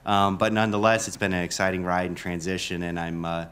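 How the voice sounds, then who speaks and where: A very low-pitched man speaks on an alumni panel in a university event room. His delivery is flat and even. He talks about his work in a halting way, full of fillers and self-corrections. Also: clean tone. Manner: halting, many fillers and restarts. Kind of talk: panel introduction.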